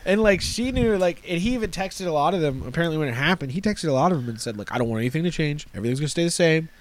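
A man's voice: fairly high pitch, animated, very fast. A man hosting a casual podcast, talking loosely and profanely and often restarting mid-sentence. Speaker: podcast host.

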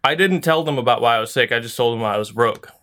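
A man's voice: medium pitch, quick pace, a bit bright. A young man co-hosting a podcast, telling a story in a loose, joking way and laughing often. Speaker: podcast co-host. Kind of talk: casual podcast chat.

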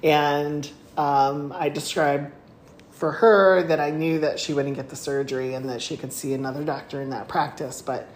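Woman co-host, low-pitched, telling stories casually with bursts of laughter, recorded in an echoey room. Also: moderate pace. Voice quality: laughs often while talking. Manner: animated retelling, voice jumps high when excited. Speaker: podcast co-host. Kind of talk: casual storytelling chat. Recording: echoey room with faint noise.

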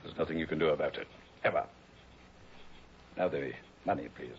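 An actor playing a smug, sly blackmailer in a mannered, mock-polite style of 1960s radio drama. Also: male voice, a bass voice, a little fast. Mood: smug, menacing. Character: smug, sly male blackmailer, sneering then pleading. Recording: muffled, hissy vintage radio recording.

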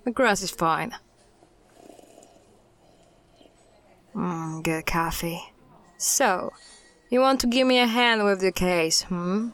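A woman acts as a female private eye in a mystery audio drama. Her voice is mid-pitched, and she speaks briskly and guardedly, like someone keeping a case to herself. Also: fairly bright tone, natural voice. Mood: wry, casual.

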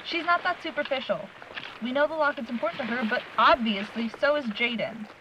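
A woman's voice: high voice, fairly quick, close to an everyday voice. A woman playing a determined, blunt young searcher in a supernatural audio drama, talking insistently. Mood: curious. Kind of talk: audio drama acting, conversational. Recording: muffled, with loud background noise.

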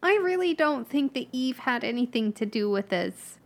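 Woman, high voice, hesitant, thinking aloud, tabletop role-play. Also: lively intonation, moderate pace. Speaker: player. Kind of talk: in-character roleplay at a tabletop game.

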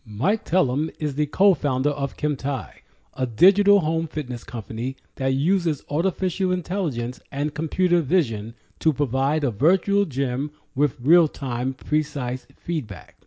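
A man's voice: on the high side, expressive, moderate pace, dark tone. A man hosting a fitness interview podcast who reads scripted introductions and asks friendly questions. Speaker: podcast host. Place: New York. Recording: dull lo-fi audio.

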